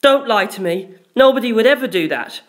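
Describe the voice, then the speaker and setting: A woman voicing a haughty, protective young woman with a sharp temper and an imperious, emphatic delivery in a story reading. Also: a little high, expressive, fairly quick, pitched above the performer's own voice, natural voice. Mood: demanding.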